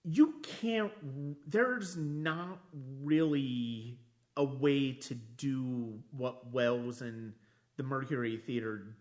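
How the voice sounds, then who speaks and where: A man hosting a casual podcast discussion, speaking in halting, broken phrases as he thinks aloud. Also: medium pitch. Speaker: podcast host.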